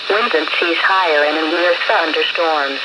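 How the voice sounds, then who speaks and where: A synthetic-sounding woman reads a scripted forecast in a measured, flat way over the radio. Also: deep voice. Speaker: automated weather radio announcer. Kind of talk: scripted marine forecast readout. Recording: weather radio broadcast with clear noise.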